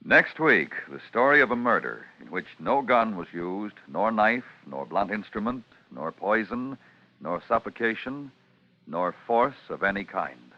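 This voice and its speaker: A man announcing a 1950s radio mystery program in a measured, dramatic scripted delivery. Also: fairly low pitch, sing-song. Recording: muffled vintage radio broadcast. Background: music.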